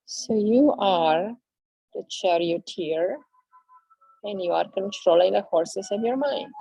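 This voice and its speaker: A woman guiding a yoga class with slow, soothing, drawn-out cues. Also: mid-range voice, gravelly, warm tone, slightly rough, dry recording, poor audio. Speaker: yoga instructor. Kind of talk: guided yoga class instruction.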